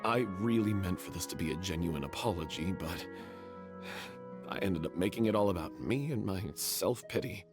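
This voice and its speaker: A remorseful man from a game. His deep voice is subdued and earnest, full of self-reproach. Music plays clearly beneath it. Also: lively intonation, moderate pace. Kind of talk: video game voice acting.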